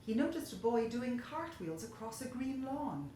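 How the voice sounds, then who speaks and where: A woman's voice: a little high, very animated, moderate pace, warm tone. A woman narrating a children's story expressively, in the manner of a storyteller reading aloud. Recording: very echoey room, faint hiss.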